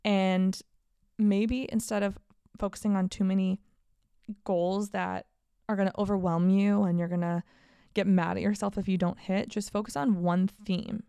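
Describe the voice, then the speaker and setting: A woman's voice: mid-range voice, flat delivery, normal speed, creaky, dark tone, clean tone, dry recording. A woman in her late twenties hosting a casual solo podcast, talking candidly and upbeat about her year. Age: 20s. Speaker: podcast host.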